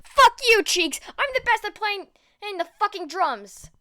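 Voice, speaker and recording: A woman's voice: very high-pitched, expressive, measured pace, bright, forceful tone, no echo, studio quality, voice pushed up, exaggerated voice. A woman voicing an explosive, aggressive teenage boy who barks and swears in a fan fiction audiobook. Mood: furious, boastful. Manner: barking, swearing.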